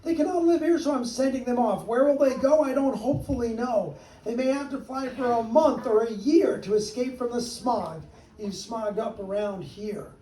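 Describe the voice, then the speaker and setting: A grumpy little male creature from a storybook scolds in a sharp, high, coughing voice, as a man puts him on. He snaps his complaints like a cranky old guardian. The sound is mellow and roomy, heard from some distance off the mic. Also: moderate pace, slight background noise. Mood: scolding.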